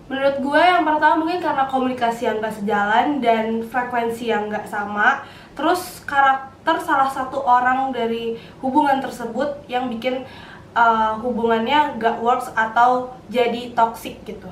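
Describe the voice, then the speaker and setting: A woman's voice: fairly high pitch, moderate pace, roomy sound. Teen girl student, casual discussion, distant mic with some noise. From Indonesia. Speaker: university student.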